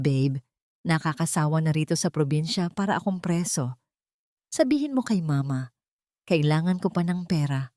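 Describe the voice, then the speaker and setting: A woman's voice: on the low side, warm tone, dry recording, studio quality, close to an everyday voice. A woman voicing a selfish, impatient cheating husband in an audio drama, with a complaining, callous manner.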